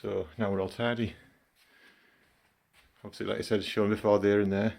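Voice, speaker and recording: Croaky middle-aged man with a cold. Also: lowish voice, flat delivery, moderate pace, darkish tone. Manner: chatty, self-commenting as he works. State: hoarse from a cold. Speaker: gaming channel host. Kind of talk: casual vlog walkthrough.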